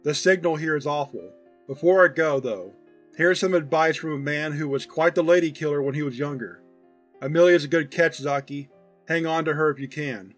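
A man's voice: fairly high pitch, normal speed, soft background music, natural voice. A man voicing an affectionate, proud, teasing old grandfather in a fond, folksy, chatty manner for a story reading. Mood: fond, advising.

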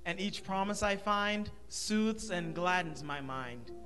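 A young male college student from the Bahamas introducing himself and reciting a poem clearly and carefully. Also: sits high, expressive, slow pace, sharp tone, faint music behind. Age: young adult.

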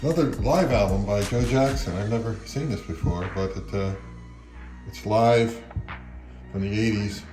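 A man in his fifties with a fairly low voice talks in an offhand, conversational way. He sounds like a home vlogger reviewing gear, with music in the recording. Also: moderate pace. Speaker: channel host, record collector. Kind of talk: casual product review vlog. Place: indoors at home, living room and kitchen.

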